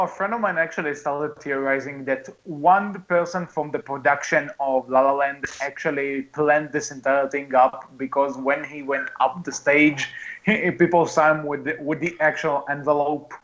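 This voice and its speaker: High-voiced man on a lo-fi podcast. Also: steady tone, normal speed, fairly bright tone. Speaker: podcast host. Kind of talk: casual movie podcast chat.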